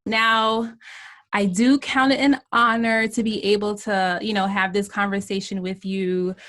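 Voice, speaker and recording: A woman's voice: medium pitch, flat delivery, unhurried, a bit bright. A woman hosting a podcast, talking in a chatty, upbeat way and laughing easily as she introduces and interviews her guest. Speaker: podcast host. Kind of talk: casual interview chat.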